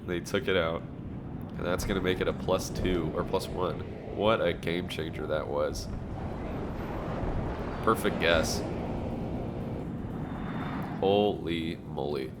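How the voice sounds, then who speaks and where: A man commentating a strategy game match, sounding nasal from allergies, reacting excitedly to the action. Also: low voice, very noisy. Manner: excitable, fast reactions and laughs. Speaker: gameplay commentator.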